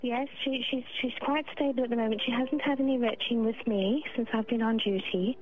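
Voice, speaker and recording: Phone-line sound, woman, nurse, slightly high voice, polite, formal, hesitant and careful. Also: fairly even intonation, brisk, a little hiss or hum. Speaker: hospital nurse. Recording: thin muffled phone line.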